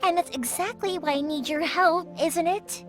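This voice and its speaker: Upbeat young fireworks maker; high, lively, music behind. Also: female voice, moderate pace. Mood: encouraging. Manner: upbeat, encouraging, playful. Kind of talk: game cutscene voice acting.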